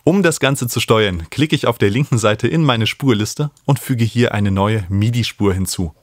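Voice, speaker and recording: A man, explaining music software as an instructor in a tutorial. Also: on the low side, moderate pace, dry recording, studio quality. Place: small home studio, indoors. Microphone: studio microphone up close.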